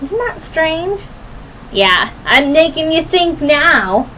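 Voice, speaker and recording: High pitched young woman doing a chatty rambly radio show, playful and giggly, some noise in the background. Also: very animated, slow pace, pushed and bright, low-quality recording. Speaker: radio host. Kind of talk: rambling casual monologue to listeners.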